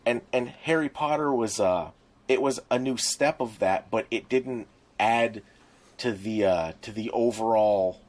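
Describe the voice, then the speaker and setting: A man's voice: medium pitch, a little slow, a little mellow. A middle-aged man co-hosting a podcast in casual conversation, with a wry manner. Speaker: podcast co-host.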